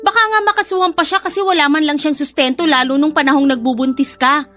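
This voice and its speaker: A woman's voice: high-pitched, fairly even intonation, fast talker, a bit bright, soft background music. A woman playing a blunt, protective best friend with a sassy, scolding manner in an audio drama. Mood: blunt, teasing.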